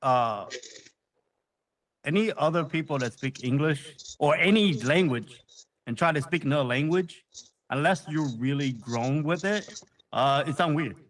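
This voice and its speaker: Slow-talking, slightly high-voiced man, blunt and slangy. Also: slight background noise, rough recording. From United States. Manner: blunt, slangy, swears freely. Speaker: community member in the chat. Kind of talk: casual banter.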